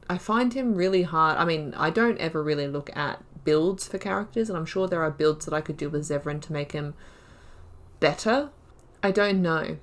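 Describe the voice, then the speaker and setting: A young woman giving casual let's-play commentary, chatting and thinking aloud about her choices in the story. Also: fairly low pitch. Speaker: gaming video creator.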